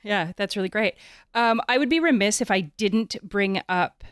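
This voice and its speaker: A woman's voice: medium pitch, normal speed, clear, resonant tone, no room sound, studio quality. A Canadian woman hosting a conversational interview, informal and often searching for her words.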